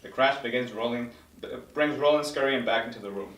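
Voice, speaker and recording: A man's voice: medium pitch, a little fast, a bit bright. A man reading stage directions as scripted narration at a table read. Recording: roomy, distant mic.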